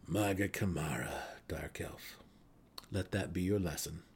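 A man voicing an old deep gnome warden in a fantasy audiobook, hearty and melodic with a growl, speaking in formal, old-fashioned phrasing. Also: measured pace, creaky, slight background noise. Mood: resigned, gruff. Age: older. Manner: formal, archaic inverted phrasing.

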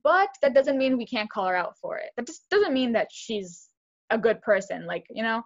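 A young woman co-hosting a podcast, talking fast and animated in casual chat, with lots of "like". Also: on the high side, highs cut off. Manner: fast, animated, heavy use of "like". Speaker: podcast co-host.

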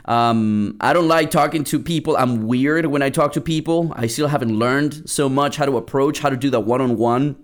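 A man's voice: mid-range voice, normal speed, hardly any pauses. A young man from Peru hosting a podcast, telling a casual story with lots of restarts and fillers. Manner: rambling, many restarts and fillers. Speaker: podcast host.

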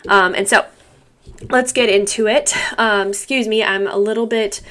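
A woman's voice: medium pitch, fairly even intonation, normal speed, bright, forceful tone, clear, resonant tone. A young woman with a stuffy, congested nose hosting her podcast in a chatty, rambling way, with frequent ums and little laughs. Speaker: podcast host. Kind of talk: casual solo podcast talk.

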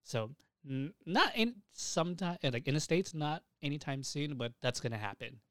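A man's voice: a little high, expressive, moderate pace, a bit bright, no echo, studio quality. A young man co-hosting a casual anime news podcast, rambling along and swearing freely. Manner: profane, rambling. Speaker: podcast co-host.

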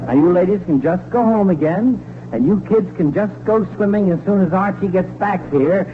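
A man's voice: high voice, moderate pace, lightly acted. An actor playing an exasperated, put-upon middle-aged father, blustering and complaining sarcastically in a 1940s radio comedy. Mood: exasperated lecturing. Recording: noisy, tinny 1940s radio broadcast.

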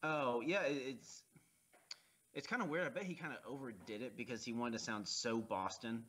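A man's voice: mid-range voice, moderate pace, a bit bright, a little hiss or hum. A man co-hosting a casual movie commentary, easygoing and laughing along with crude jokes. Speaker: podcast co-host.

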